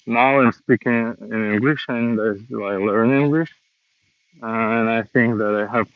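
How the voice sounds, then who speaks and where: Man vlogging on a walk: slow, halting learner English, dull dry phone audio. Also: mid-range voice, no echo. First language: Russian. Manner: slow, hesitant learner English with many pauses. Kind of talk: casual walking vlog monologue. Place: outdoors, walking around a city.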